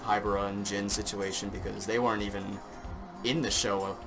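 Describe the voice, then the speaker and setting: A man's voice: fairly low pitch, little pitch movement, moderate pace. A man chatting casually as a guest on a podcast. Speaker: podcast guest from a fandub group. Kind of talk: casual chat. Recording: music clearly playing behind the voice.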